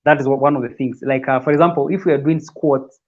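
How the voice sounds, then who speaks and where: A man's voice: medium pitch, steady tone, fast talker, dull audio. A man from Kenya, a guest on a fitness livestream, talking casually and often repeating words as he explains.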